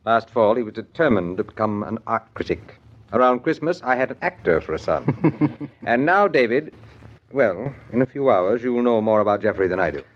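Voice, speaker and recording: A man's voice: mid-range voice, animated, normal speed, lightly acted. An actor playing a dry, courteous middle-aged businessman and father in a 1940s radio soap opera, speaking formally and articulately with wry regret. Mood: courteous, rueful. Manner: formal, articulate, wryly rueful. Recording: dull 1940s radio broadcast recording.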